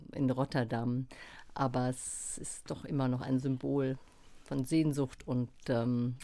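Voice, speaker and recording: Very low, gravelly-voiced woman journalist. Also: a little mellow, dry recording. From Germany. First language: German. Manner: reflective, thoughtful, with restarts. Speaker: journalist guest. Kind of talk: radio interview.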